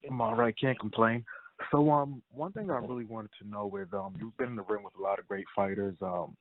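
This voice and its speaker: A man's voice: lowish voice, steady tone, brisk. A man asking casual, wordy interview questions as a boxing reporter. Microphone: telephone. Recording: tinny phone conference line.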